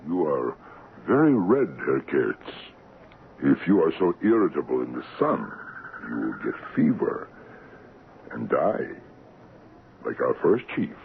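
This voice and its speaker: An actor in a radio drama playing a shrewd, unrepentant African trading post assistant who speaks in measured, terse, simple sentences. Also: male voice, very deep voice, very animated, creaky, full character voice. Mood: cool, unrepentant. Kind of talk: old-time radio drama acting. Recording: muffled, noisy vintage radio broadcast.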